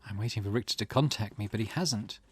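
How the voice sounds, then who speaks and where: A man's voice: lowish voice, fast talker, a little hiss or hum, lightly acted. A man voicing a weary, grieving middle-aged mother from a visual novel, reading her old-fashioned, wistful thoughts aloud. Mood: weary, uneasy.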